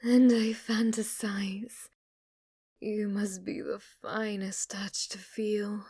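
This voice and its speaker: A woman's voice: mid-range voice, level tone, takes it slowly, dark tone, husky. A woman playing a devoted, submissive demon maid in an ASMR roleplay, with a formal, deferential and adoring manner. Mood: flustered longing. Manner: formal, deferential, breathless adoration, sometimes whispering. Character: devoted, submissive, obsessively worshipful female demon maid servant.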